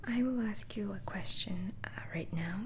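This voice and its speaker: A woman whispering an ASMR roleplay as a patient doctor, with breathy, mostly unvoiced speech and hesitant, softly murmured questioning. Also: medium pitch, a little hiss or hum. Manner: slow, hesitant questioning with soft murmured acknowledgements. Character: mild, patient asylum doctor interviewing a patient. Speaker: ASMR roleplay performer playing an interviewing doctor.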